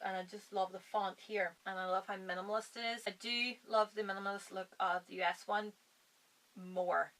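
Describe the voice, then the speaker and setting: A woman's voice: mid-range voice, sharp tone, rough recording. A woman chatting casually and enthusiastically as a book vlogger, her voice a little strained from a lot of talking. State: strained voice from long filming. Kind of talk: casual vlog chat.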